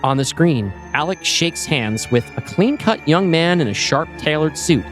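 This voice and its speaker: Expressive male screenplay narrator, lively pitch. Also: unhurried, over music. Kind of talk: scripted screenplay narration, present tense.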